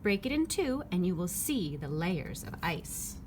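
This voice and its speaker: A woman reading a children's picture book aloud, phrasing it clearly and simply for young listeners. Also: on the low side, very animated, unhurried. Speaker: read-aloud narrator. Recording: clearly noisy background ambience.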